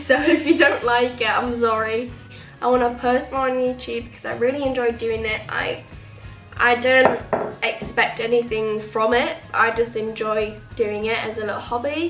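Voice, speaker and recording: A woman's voice: on the high side, fairly bright tone. A young woman chatting casually to camera as a vlogger, sniffly with a cold and rambling with lots of "like". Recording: echoey room with faint music. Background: faint music.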